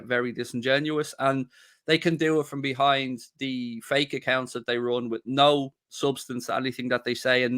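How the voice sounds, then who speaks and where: A man's voice: mid-range voice, fairly bright tone. A young man hosting a casual podcast, talking bluntly and defiantly and swearing freely. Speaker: podcast host.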